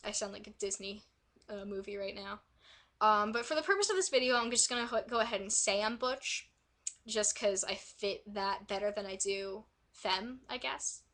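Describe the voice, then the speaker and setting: A young woman vlogging casually, hoarse and raspy from a cold, rambling with lots of fillers and self-corrections. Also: medium pitch, normal speed, fairly bright tone. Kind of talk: casual vlog talk. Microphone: quiet built-in mic.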